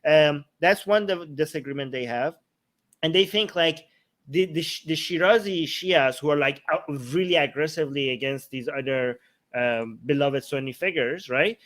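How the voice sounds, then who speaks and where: Higher-pitched man explaining things casually. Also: moderate pace, fairly bright tone. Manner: informal, checks in with "okay" and "right". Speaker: talk show host.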